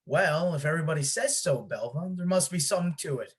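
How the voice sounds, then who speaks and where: A woman's voice: sits low, animated, fast, slightly rough, exaggerated voice. A woman playing a beleaguered, folksy small-town man in charge of a solemn tradition, in an amateur play acted over video call. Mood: defensive. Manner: folksy, exasperated, officious. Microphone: video call microphone.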